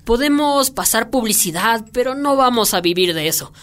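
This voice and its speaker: Una mujer que interpreta en un radioteatro a una persona organizadora de radio comunitaria, franca, persuasiva e irónica, de habla coloquial. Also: female voice, a little high, lively intonation, moderate pace, a bit bright. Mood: persuasive, wry. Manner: colloquial, persuasive, wry. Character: pragmatic, outspoken community radio organizer. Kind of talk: radio drama acting.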